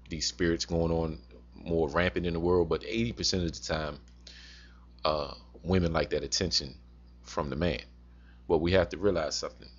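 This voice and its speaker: A man with an extremely deep voice gives informal religious advice. He talks haltingly, circling back to repeat his cautions like a teacher addressing his flock. The recording is dull and muffled. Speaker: religious teacher advising men. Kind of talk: informal sermon-like advice talk.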